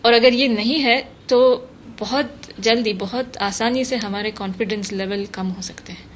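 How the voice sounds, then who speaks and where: A woman giving measured, thoughtful interview answers, mixing English terms into her speech. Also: a little high. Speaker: interview guest, translator. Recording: noticeable steady background noise.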